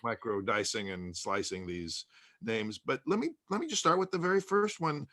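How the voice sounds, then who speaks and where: A man in a conversational panel discussion, explaining things in halting phrases with pauses. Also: medium pitch, animated, fairly bright tone. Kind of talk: conversational discussion of old radio.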